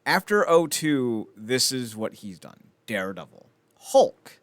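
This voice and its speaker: A man's voice: medium pitch, big pitch swings, slow pace, no room sound. A man hosting a podcast in casual chat about films, rambling along with blunt, wry opinions. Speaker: podcast host.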